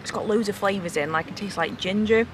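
A woman's voice: mid-range voice, quick pace. A woman, a travel vlogger chatting casually outdoors. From Britain. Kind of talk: casual vlog chat. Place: outdoors in a city park. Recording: noisy outdoor location sound.

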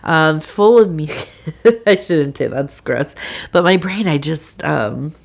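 A woman with a congested cold giving a soft-spoken, meandering tea leaf reading, with frequent fillers. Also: low-pitched, expressive, vocal fry. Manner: slow, meandering, with many fillers and soft laughs. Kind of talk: soft-spoken ASMR tarot-style reading.

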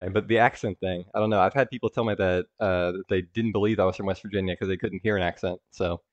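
A man hosting a casual interview over a video call, speaking in a measured way with hedges like "I mean" and "like". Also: deep voice, steady tone, no echo, dull audio. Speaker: host.

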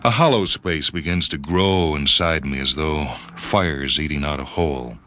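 Male narrator, extremely low and forceful, measured dramatic first-person fiction reading. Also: slight background noise. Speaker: story reader. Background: background music.

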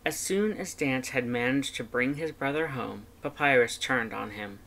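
A woman's voice: rumbling low, normal speed, fairly bright tone. A woman reading scripted fan fiction narration as an audiobook narrator.

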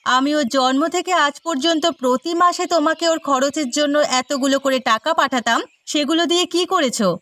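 A woman's voice: sits high, brisk, a bit bright, dry recording. A woman voicing an anguished, tender village mother with pleading, emotional delivery in a melodramatic moral-story cartoon. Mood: accusing.

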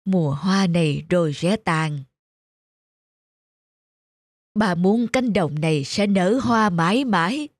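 A man's voice: sits high, expressive, measured pace, no room sound, clean studio sound. A man voicing a devoted old farmer in a cartoon, earnest and affectionate. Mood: sorrowful. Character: devoted old bearded farmer, tender and sorrowful husband. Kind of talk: cartoon dubbing.

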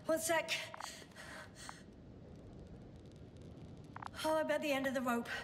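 An actress playing a composed, wry woman scientist exploring a planet in a video game, speaking in a measured, dry way. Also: high voice, fairly even intonation, background noise, close to an everyday voice. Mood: strained, exasperated.

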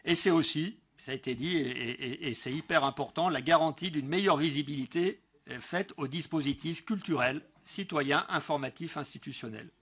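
Husky middle-aged man giving a council speech. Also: on the high side, moderate pace, hardly any pauses, fairly bright tone. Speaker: local elected councillor. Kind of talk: prepared political speech at a council meeting. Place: large council meeting room, indoors. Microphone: gooseneck desk microphone.

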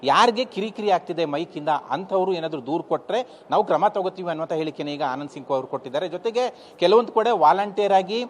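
Man, Kannada accent, slightly high pitch, field reporter, explanatory and halting, faint ambient noise. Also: expressive. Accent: Kannada, Karnataka. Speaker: news reporter. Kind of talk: live news report.

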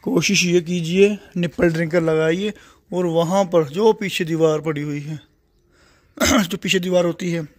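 A man's voice: on the high side, steady tone, a little slow. A man with a Punjabi-tinged Hindi accent walking through his poultry shed, explaining in a plain, repetitive way and speaking straight to the viewer, recorded on a phone he carries. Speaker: poultry farmer giving a shed tour. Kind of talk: casual walk-through explanation. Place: large open-sided poultry shed under construction. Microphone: phone camera held while walking.

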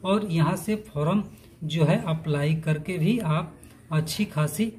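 A man's voice: fairly high pitch, takes it slowly, darkish tone. A man presenting an informal step-by-step tutorial, instructing listeners in a friendly-address style. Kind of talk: informal explanatory tutorial narration.

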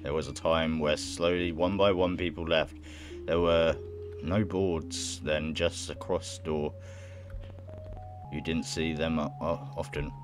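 A young man reading a visual novel aloud in a halting way, with casual reactions of his own. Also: rumbling low, level tone, over music. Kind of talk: let's play, reading game text aloud with casual reactions. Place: indoor room at home.

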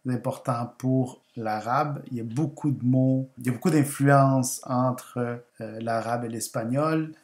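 A man from Guatemala whose first language is Spanish, hosting a language video and explaining things in a measured way, often repeating words for emphasis. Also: medium pitch, darkish tone, roomy sound. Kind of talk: unscripted explainer over slides.

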